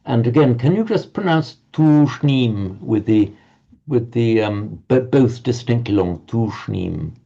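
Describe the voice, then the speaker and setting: Guy teaching a class over a call, gravelly dark voice, kinda muffled lo-fi sound. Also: medium pitch, lively intonation. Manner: halting, stammering repeats, patient. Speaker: Sanskrit teacher. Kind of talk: online class, correcting pronunciation.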